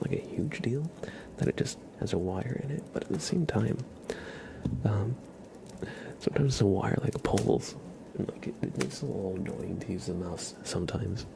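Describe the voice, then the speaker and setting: A man hosting an ASMR livestream, chatting casually to viewers in a soft, breathy, gentle whisper close to the microphone. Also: on the low side, noisy background.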